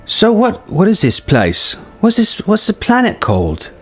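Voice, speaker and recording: Man putting on a higher, urgent young apprentice woman. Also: wildly swooping pitch, a little slow, slightly rough, full character voice. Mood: curious. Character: determined, impatient young female Jedi apprentice. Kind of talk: acted dialogue within story narration. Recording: music behind the voice.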